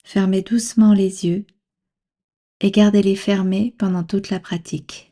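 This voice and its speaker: A woman guiding a relaxation practice as a meditation instructor, speaking calmly and soothingly in measured instructions with long pauses. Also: mid-range voice. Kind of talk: guided yoga nidra relaxation.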